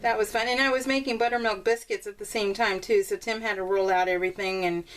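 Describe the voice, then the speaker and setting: A woman with a mid-pitched voice talks to her viewers like friends in a casual craft vlog. She is bubbly and chatty, and her thoughts wander, trail off and circle back. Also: fairly even intonation, quick pace, low-quality recording. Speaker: crafting vlogger. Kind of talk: casual rambling chat to viewers.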